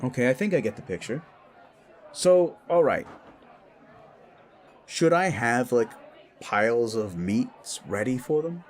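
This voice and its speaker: A man's voice: mid-range voice, animated, takes it slowly, pauses often, some chatter behind. A man voicing a big, touchy werewolf bully boss who gets defensive and blusters through an audio drama dialogue. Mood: grudging, eager.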